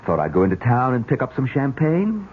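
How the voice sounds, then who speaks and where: An actor in a 1970s radio mystery playing a scheming, nervous nephew with an edgy, sardonic manner. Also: male voice, mid-range voice, huge pitch swings, a little fast. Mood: smug, sardonic. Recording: tinny, noisy old radio recording.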